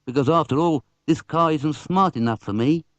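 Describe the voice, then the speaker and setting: A man's voice: on the high side, normal speed, no echo, voice pushed up, lightly acted. A British man voicing a casual, practical car dealer in a children's storybook. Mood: offhand.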